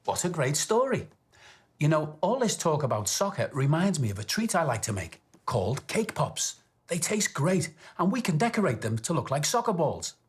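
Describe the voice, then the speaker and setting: A man telling stories and presenting for young children in an expressive, clearly enunciated way. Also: a little high, normal speed, no room sound.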